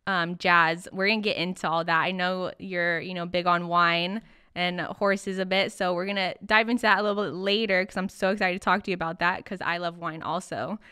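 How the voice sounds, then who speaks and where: A woman's voice: lowish voice, steady tone, fairly quick, sharp tone, clean tone, no echo, clean studio sound. A woman hosting a podcast interview in a chatty, encouraging, casual way. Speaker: podcast host.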